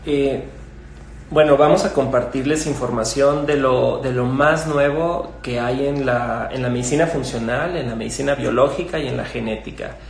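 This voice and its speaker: A middle-aged man with a Mexican Spanish accent, a gynecologist explaining things in a measured way with fillers and restarts. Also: medium pitch, steady tone, creaky, slight background noise. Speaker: gynecologist guest. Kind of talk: conversational explanation. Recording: echoey room with faint ambience.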